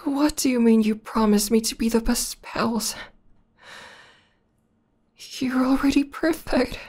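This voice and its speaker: A woman playing a tender, lovestruck tomboy demoness in a romantic ASMR roleplay, speaking softly and haltingly with half-whispers. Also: fairly high pitch. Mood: happy, adoring. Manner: soft, halting, breathy half-whispers with emotional stammers. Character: tender, lovestruck tomboy demoness, millennia old, newly proposing.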